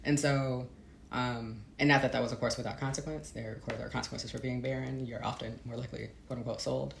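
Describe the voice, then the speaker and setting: A woman's voice: rumbling low, steady tone, quick pace, vocal fry, echoey room, slight background noise. A woman author giving reflective, conversational interview answers in a thoughtful, hesitant manner. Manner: thoughtful, hesitant, often laughing lightly. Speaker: guest author.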